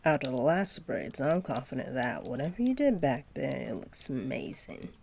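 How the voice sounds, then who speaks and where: A woman playing a sweet, doting centaur girlfriend in an intimate roleplay audio, speaking softly and affectionately to her partner with gentle teasing. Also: very deep voice, sing-song, moderate pace, vocal fry, husky, heavily acted. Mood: delighted, trusting.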